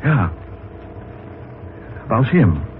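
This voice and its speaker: Intense farmer on tinny old radio. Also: male voice, medium pitch, sing-song, very slow. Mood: alarmed. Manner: intense, urgent, dramatic delivery. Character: agitated male farmer obsessed with a prophetic dream. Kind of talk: old-time radio drama acting. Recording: scratchy, narrow-band old radio broadcast.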